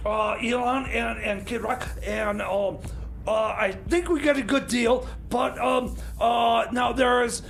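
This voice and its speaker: A man's voice: very high-pitched, fairly even intonation, takes it slowly, vocal fry, a bit bright, slight background noise, full character voice, rough recording. A man playing a flustered, overconfident time machine mechanic in radio comedy, stammering, hesitant and repeating his words. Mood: hesitant, harried.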